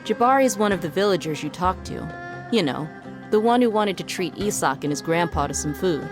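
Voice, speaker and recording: An actress playing a shrewd, confident desert mercenary in a video game cutscene, with a wry, matter-of-fact delivery. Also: female voice, lowish voice, animated, fairly quick, close to an everyday voice. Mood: confident, explaining. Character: shrewd, self-assured adult female desert mercenary. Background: background game music.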